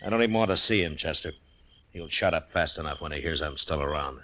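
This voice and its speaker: Western radio lead: stern American lawman, very low voice, steady, clipped, dry authority, vintage recording. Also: fast, slight background noise. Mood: weary, resigned. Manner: terse, dry, commanding. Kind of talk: old-time radio western acting.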